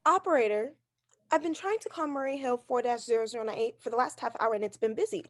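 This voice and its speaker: A woman's voice: a little high, fast, no echo, natural voice. A woman playing a neurotic, fretful invalid housewife in a 1940s radio drama, anxious and insistent.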